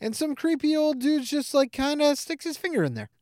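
A podcast co-host recapping and reacting to a film in casual, animated chat with frequent swearing. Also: sits high, brisk, dry recording, studio quality.

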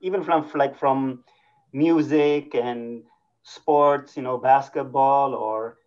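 A man from Eritrea, a guest on a podcast, speaking in a relaxed, thoughtful way and drawing out his words. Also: medium pitch, slow. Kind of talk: casual interview. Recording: dull lo-fi audio.

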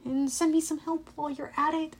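A woman's voice: high-pitched, normal speed. A woman voicing an earnest, defiant and loyal schoolboy hero in a storybook reading. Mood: pleading.

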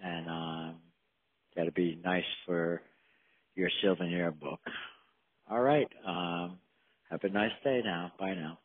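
A man leaving an unhurried, conversational work voicemail over the telephone. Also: low-pitched, slight background noise. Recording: dry, narrow telephone voicemail line.

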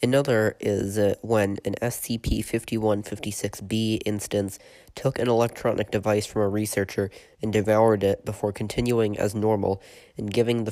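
A man hosting a podcast, stuffy from a cold, reading a written horror report in a steady, matter-of-fact way with a few hesitations. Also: lowish voice, no echo. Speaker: podcast host and narrator.

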